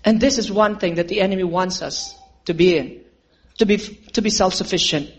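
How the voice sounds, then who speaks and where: A man's voice: sits high, moderate pace, husky. A man preaching a sermon, telling a story and calling his listeners friends. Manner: earnest, addresses listeners as friends, asks for amens.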